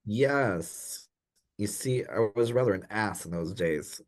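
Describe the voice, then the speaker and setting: An actor playing an anxious, dim young gentleman in a comic period radio play, dithering and apologetic in posh old-fashioned slang. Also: fairly low pitch, expressive, takes it slowly. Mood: embarrassed confession. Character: anxious, dim young upper-class Edwardian gentleman in trouble.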